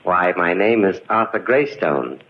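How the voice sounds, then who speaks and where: A man's voice: deep voice, lively intonation, a little slow, a little hiss or hum. Gloating madman, muffled old radio. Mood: eerily courteous menace. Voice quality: maniacal cackling, swooping delivery. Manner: mock-polite, menacing. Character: deranged, gloating murderer haunting his brother's tomb. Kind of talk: 1940s radio mystery acting. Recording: muffled vintage 1940s radio recording.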